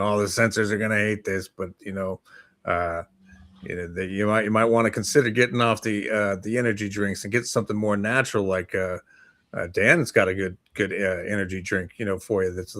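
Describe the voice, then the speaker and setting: Low-voiced man, rambling casual co-host. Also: a bit bright. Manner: halting, rambling, informal. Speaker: talk show co-host. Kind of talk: casual morning chat show.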